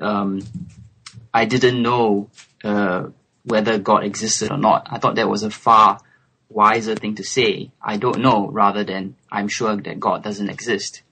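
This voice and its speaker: A man in his twenties from Singapore, a guest on a remote call, speaking thoughtfully with soft laughs and hesitant fillers. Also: on the low side, husky, low-quality recording. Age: 20s. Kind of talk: relaxed interview chat.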